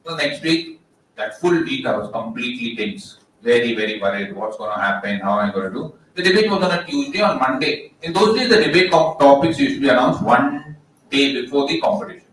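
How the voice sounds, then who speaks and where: A young man with an Indian English accent and a loud voice, telling a joking story to a live audience and asking them questions. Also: medium pitch, expressive, a little fast, a little hiss or hum. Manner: jokey, asks the crowd questions. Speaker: motivational speaker. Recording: echoey hall, distant mic.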